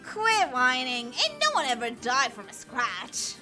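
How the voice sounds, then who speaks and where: A fierce little old grandmother barks her words, gruff and loud, as a woman reading a story puts her on. Her voice leaps around in pitch and comes out bright and forceful. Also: wildly swooping pitch, a little slow, faint music behind, heavily acted. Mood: angry scolding. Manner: loud, rough, scolding.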